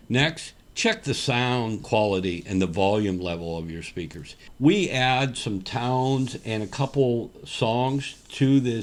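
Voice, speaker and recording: A man giving instructional narration as a company representative, working through a checklist step by step and stressing the start of each point. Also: fairly low pitch. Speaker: company representative presenting a product explainer.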